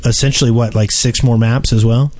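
A man's voice: mid-range voice, fairly even intonation, brisk, a little mellow. A man co-hosting a casual podcast, chiming in with short, jokey remarks.

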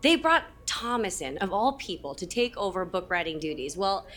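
A woman's voice: lowish voice, very animated, moderate pace, a bit bright. A woman playing a sarcastic, bitter songwriter. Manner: curt, sarcastic.

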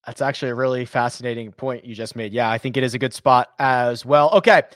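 A man's voice: medium pitch, quick pace, dry recording, clean studio sound. A man hosting a sports betting podcast, chatting casually with energy and wrapping up the show. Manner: energetic, chatty, upbeat sign-offs. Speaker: podcast host.

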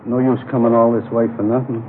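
An actor playing a talkative, friendly civilian in a 1950s radio drama, with a chatty, folksy manner. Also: male voice, medium pitch, little pitch movement, quick pace, lightly acted. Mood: chatty, hopeful. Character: talkative, friendly civilian man named Bert tagging along with police. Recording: tinny, noisy 1950s radio recording.

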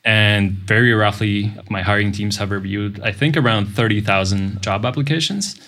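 A middle-aged man, a podcast guest explaining things in a measured way with frequent pauses. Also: lowish voice, fairly bright tone. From Latvia. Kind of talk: casual interview talk.

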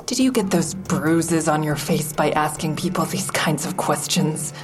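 A sardonic woman comedian in an audio drama, with a low-pitched voice. She is guarded and defensive, narrating her private thoughts in a wry, confessional way. Faint music runs underneath. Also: measured pace. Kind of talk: full-cast audio drama acting with first-person narration.

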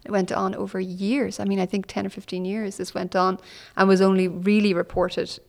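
An Irish woman author being interviewed on a podcast, recounting events in an animated storytelling manner. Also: mid-range voice, brisk, vocal fry. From Ireland. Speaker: author guest.

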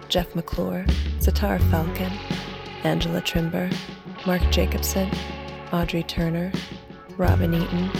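A woman announcer giving a measured, matter-of-fact scripted credits read. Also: lowish voice, creaky. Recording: loud music under the voice.

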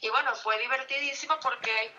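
A woman's voice: sits high, steady tone, fairly quick, sharp tone, highs cut off, slight background noise. A young Venezuelan woman with a Venezuelan Spanish accent, a novelist answering interview questions on the radio with a chatty manner and many fillers. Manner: chatty, lots of fillers, laughs often. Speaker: novelist guest.

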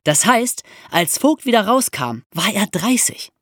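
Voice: mid-range voice, very animated, unhurried, fairly bright tone, no echo, studio quality. A podcast presenter explaining history as a story, with dramatic emphasis and rhetorical questions.

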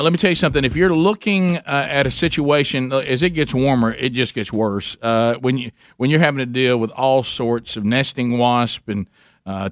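A man's voice: mid-range voice, a bit bright, dry recording, studio quality. A man hosting a radio talk show, joking with co-hosts and reading live ads. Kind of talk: casual radio banter and live ad reads.

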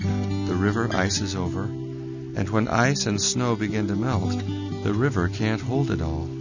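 A man reading a scripted documentary narration in a measured, steady way. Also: fairly low pitch. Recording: slightly dull, dry recording with soft guitar music.

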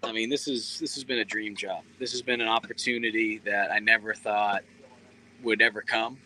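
A man in his thirties, a sports reporter, talking earnestly in a relaxed interview with many fillers. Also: on the low side, moderate pace, a little hiss or hum, poor audio. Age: 30s. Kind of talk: casual interview talk.

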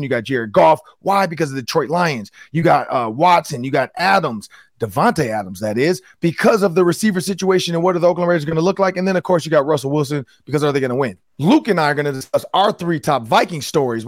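A man hosting a sports podcast in a chatty, upbeat way, his voice a little hoarse and raspy. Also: a little high, dry recording, studio quality. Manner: upbeat, chatty, frequent restarts. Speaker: podcast host. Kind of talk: casual sports talk.

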